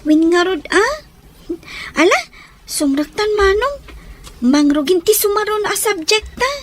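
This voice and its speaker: A woman's voice: extremely high pitch, lively intonation, a little slow. A woman playing an earnest young schoolgirl in an audio drama, speaking deferentially as a trusting little sister. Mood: earnest, worried.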